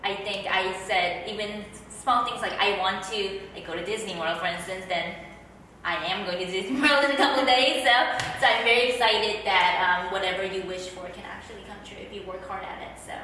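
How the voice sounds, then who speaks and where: A woman's voice: medium pitch, brisk, bright, forceful tone, a little hiss or hum. A woman hosting an interview, upbeat and encouraging, chatty with frequent fillers, recorded from a distance in a room. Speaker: host. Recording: echoey room from far off.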